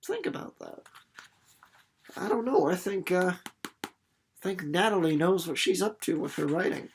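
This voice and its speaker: A man giving casual commentary on a book as a teacher, informal and a little halting as he thinks aloud. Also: high-pitched, gravelly, a little hiss or hum. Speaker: reader and teacher. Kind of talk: storybook narration and casual literary commentary for students.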